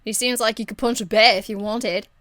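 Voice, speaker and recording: A woman voicing a cheeky, irreverent young female rogue archer in an amateur game voice demo, blunt, slangy and excitable. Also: a little high, brisk, no echo, studio quality, lightly acted. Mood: playful, blunt.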